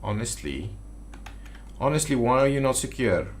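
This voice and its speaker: A man's voice: medium pitch, big pitch swings, normal speed, a little hiss or hum. A man giving casual gameplay commentary as a video host, thinking aloud and muttering to himself. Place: indoors at a computer.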